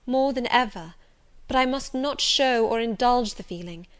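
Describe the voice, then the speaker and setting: A woman voicing a resolute young governess in an audiobook, speaking softly and steadily. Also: fairly high pitch, voice pushed up, close to an everyday voice. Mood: firm. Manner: soft, steady and measured. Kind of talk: audiobook reading.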